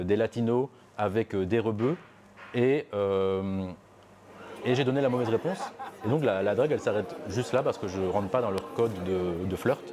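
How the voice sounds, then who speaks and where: A young man giving a personal testimony, reflective and hesitant, with many fillers and restarts. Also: lowish voice. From Belgium. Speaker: interviewee.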